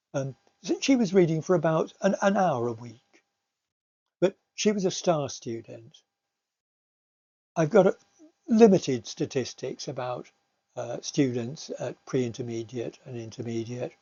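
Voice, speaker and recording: A middle-aged man giving a slide presentation as a teacher, explaining in a measured, somewhat hesitant way. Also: mid-range voice, warm tone. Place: recorded voice-over for slides. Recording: slightly dull, lo-fi recording.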